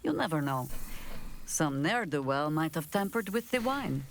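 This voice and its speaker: Fastidious game character, animated pitch, gravelly edge, dry suspicious wit, slight background noise. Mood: arch, disdainful. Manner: formal, arch, fastidious. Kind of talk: fantasy video game acting.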